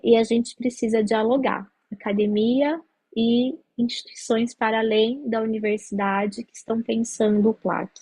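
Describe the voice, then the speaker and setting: Husky-voiced Brazilian woman lecturing. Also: mid-range voice, a little mellow, dry recording, poor audio. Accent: Brazilian Portuguese. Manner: explanatory, many fillers and restarts. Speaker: lecturer on teaching Portuguese to migrants. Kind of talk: academic talk at a meeting.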